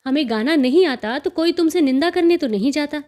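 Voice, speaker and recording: A woman voicing a sulky, easily offended young daughter-in-law in a story, answering in sharp, curt retorts. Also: high voice, animated, a little fast. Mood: irritated.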